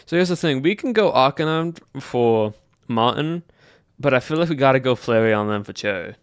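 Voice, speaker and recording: A man's voice: mid-range voice, lively intonation, normal speed, clear, resonant tone, no echo, clean studio sound. A man streaming live and chatting casually with viewers in a put-on Southern accent, musing aloud in a loose drawl. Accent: put-on American Southern. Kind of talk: casual livestream chat with viewers.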